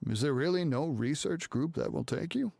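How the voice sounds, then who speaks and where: An actor playing a condescending professor in a video game, lecturing in a patronizing way. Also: male voice, fairly high pitch, lively intonation, moderate pace, vocal fry, a little mellow, a little hiss or hum. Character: condescending older male professor.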